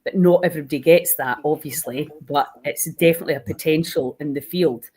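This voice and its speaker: A woman's voice: sits low, fairly quick, darkish tone, slightly rough. A woman hosting an informal live online interview in a chatty, enthusiastic way. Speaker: host.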